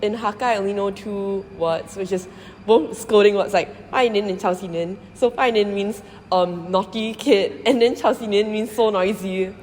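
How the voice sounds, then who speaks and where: A woman's voice: mid-range voice, normal speed, hardly any pauses, a little mellow, slight background noise. Young woman in an echoey hall. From Singapore. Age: young adult. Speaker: design student interviewee. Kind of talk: casual interview answers. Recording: roomy hall with faint ambience.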